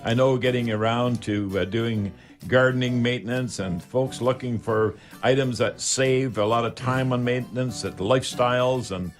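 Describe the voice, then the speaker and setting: A man's voice: mid-range voice, moderate pace, soft background music. An older Canadian man, sixty or over, hosting a home improvement show in a chatty, folksy, rambling way with catchphrases.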